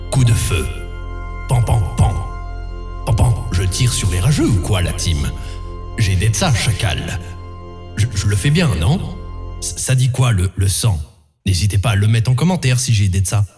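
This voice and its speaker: Low-pitched joking man over music. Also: lively intonation, normal speed, long pauses. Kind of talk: slangy comedic episode intro.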